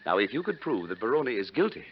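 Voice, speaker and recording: A man's voice: lowish voice, big pitch swings, fast talker, breathy edge, exaggerated voice. An actor playing a fussy, scheming wealthy stepfather, florid and hesitant, in 1940s radio style. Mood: pompous, scheming. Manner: pompous, florid, flustered hesitations. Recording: muffled, noisy 1940s radio recording.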